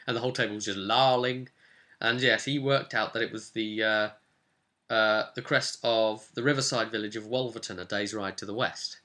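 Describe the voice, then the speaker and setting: A man casually recounting a role-playing session as game master, telling it in an animated storyteller's way. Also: fairly low pitch, moderate pace.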